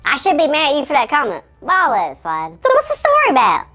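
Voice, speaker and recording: A woman voicing a shrunken male kids' show host who talks in a frantic, whiny, high chipmunk squeak. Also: wildly swooping pitch, fast talker, pushed and bright, heavily acted. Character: shrunken tiny male puppet host, panicky. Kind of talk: children's Bible show comedy.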